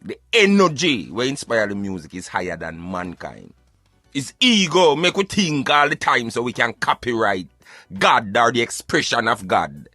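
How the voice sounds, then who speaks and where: Bright-voiced Jamaican man hosting radio. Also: medium pitch, no room sound. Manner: animated, emphatic, repeatedly addressing listeners as brethren. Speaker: radio show host. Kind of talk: casual opinionated radio monologue with plugs.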